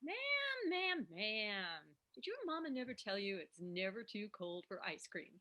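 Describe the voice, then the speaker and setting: A woman's voice: mid-range voice, big pitch swings, unhurried, sharp tone. A woman playing a perky young diner waitress, folksy and easily flustered, in a screenplay table read. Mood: chirpy. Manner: folksy, chirpy.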